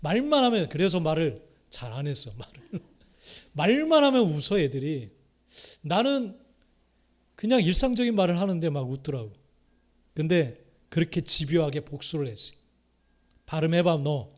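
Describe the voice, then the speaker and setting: Middle-aged male Korean pastor, slightly high voice, sermon delivery with repeated phrases and sharp loud-to-soft shifts. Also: big pitch swings, normal speed. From Korea, Chungcheong province. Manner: swings from loud rising exhortation to soft muttered asides; repeats key phrases, calls out hallelujah.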